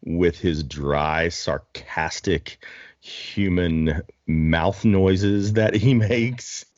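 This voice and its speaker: Very deep-voiced joking man. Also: extremely slow, a little mellow, no echo, highs cut off. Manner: self-deprecating, joking, rambling. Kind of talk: casual chat.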